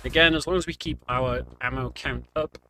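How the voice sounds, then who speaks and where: A man's voice: medium pitch, fairly even intonation, fairly quick, creaky. A man giving casual, explanatory commentary on a video game walkthrough, talking the viewer through as "we". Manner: explanatory, speaking as "we". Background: game music.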